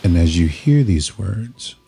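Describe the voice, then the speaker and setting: A man narrating a scripted guided meditation in a soothing, measured manner, leaving pauses between short phrases. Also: sits low, big pitch swings, gravelly, mellow, faint music behind.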